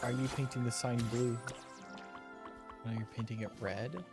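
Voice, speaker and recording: A man's voice: medium pitch, fairly even intonation, normal speed. A man doing casual, joking video game commentary, teasing the characters as he plays. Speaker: streamer playing a video game. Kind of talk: casual game commentary, reading dialogue aloud. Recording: faint game music behind. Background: game music.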